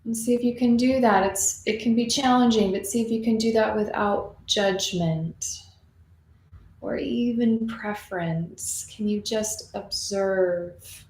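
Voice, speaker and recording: Woman doing a guided meditation, soothing n slow, lots of pauses, echoey room w a bit of noise. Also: mid-range voice, steady tone, darkish tone. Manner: soothing, unhurried, with long pauses. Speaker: meditation teacher. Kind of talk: guided meditation, gently spoken and unscripted. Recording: roomy echo, faint background noise.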